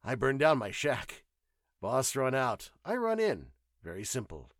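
A man voicing a gruff, sly winged alien pilot who speaks in terse, broken English bursts for an audio story. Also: medium pitch, wildly swooping pitch, a little slow, dry recording, studio quality, pitched above the performer's own voice. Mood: sly, smug.

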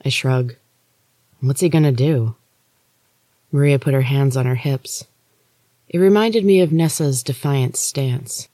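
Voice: medium pitch, a little slow, mellow, no echo. A podcast reader narrating a first-person story from a script. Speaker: audiobook reader, podcast host.

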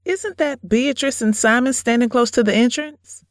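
A woman's voice: a little high, normal speed, no echo, clean studio sound, lightly acted. A woman playing a sweet, polite young woman being courted in an audio drama. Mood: friendly. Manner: gentle, polite.

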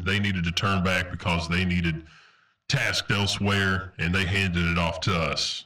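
A man's voice: low-pitched, pushed and bright, distant mic. A man playing a stern, earnest armored soldier in a roleplaying audio drama, insistent and official, a little away from the microphone. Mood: businesslike. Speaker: game player voicing a character.

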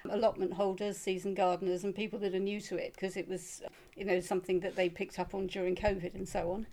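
A woman's voice: mid-range voice, little pitch movement, fast talker, a little mellow. A woman with a British accent in a casual interview, speaking as a local event organiser. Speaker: flower show organiser, interviewee.

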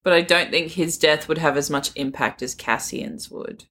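A woman's voice: low-pitched, normal speed, fairly bright tone. A woman co-hosting a podcast in casual chat, giggly, playful and opinionated. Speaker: book podcast co-host.

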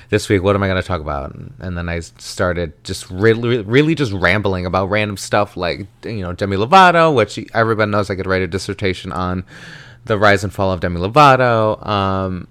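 Man, 30, from the American South, solo podcast host; low, smooth, dry-miked, chatty and dramatic. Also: dry recording. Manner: chatty, animated, self-dramatizing with frequent tangents.

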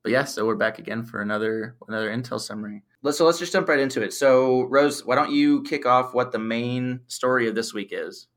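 A man hosting a security podcast, a little croaky, chatty and relaxed with plenty of fillers. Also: mid-range voice, brisk, hardly any pauses. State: slightly croaky voice. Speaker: podcast host. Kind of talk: casual podcast chat.

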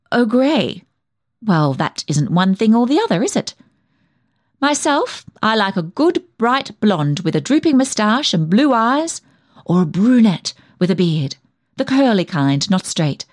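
A woman's voice: on the high side, no room sound, studio quality. A woman performing a comic monologue as a nosy, tactless village dressmaker who chats on with prying, backhanded remarks. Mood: tactless, chatty. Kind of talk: dramatic monologue reading, comic character storytelling.

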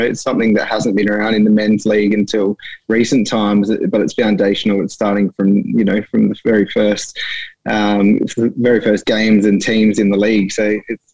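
A man's voice: medium pitch, darkish tone, no echo, low-quality recording. A man co-hosting a podcast and asking a guest questions in an enthusiastic, affirming way. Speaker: podcast co-host. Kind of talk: podcast interview.

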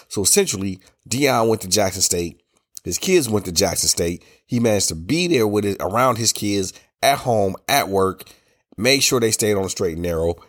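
A low-pitched American man talks solo on his podcast. His voice is congested and rough from a cold. He speaks in a loose, colloquial way, laying out opinions with emphatic repetition. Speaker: podcast host. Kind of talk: casual solo podcast talk, opinionated commentary.

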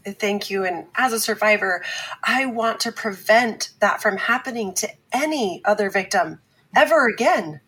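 A woman playing an earnest, firm survivor advocate on a talk show in a fiction podcast, speaking in a measured, deliberate way. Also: medium pitch, animated, sharp tone, husky, poor audio. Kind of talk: scripted fiction podcast acting.

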